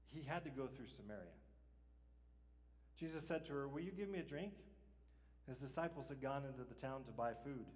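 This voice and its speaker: A middle-aged man preaches from the stage of a large church, talking to the congregation in a casual, conversational way. His voice carries a long reverb from the sanctuary and sounds somewhat dull and lo-fi. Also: fairly high pitch. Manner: conversational preaching, asks rhetorical "right?", chuckles. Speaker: pastor. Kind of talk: sermon.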